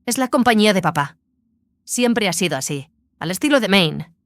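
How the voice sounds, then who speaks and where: A woman's voice: mid-range voice, animated, moderate pace, dry recording, natural voice. A woman acting a proud, earnest family heiress. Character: proud, loyal daughter of a company owner. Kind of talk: audio fiction drama.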